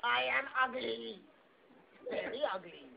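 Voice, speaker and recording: A puppeteer voicing a vain, theatrical female puppet who talks indignantly to children in a drawn-out way. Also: medium pitch, animated, gravelly. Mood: haughty. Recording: tinny lo-fi phone video, noisy crowd.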